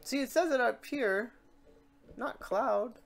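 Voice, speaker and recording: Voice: mid-range voice, slow pace, creaky, slight background noise. Informal tutorial host thinking aloud. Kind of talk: unscripted software tutorial. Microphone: voice-over for a screen recording.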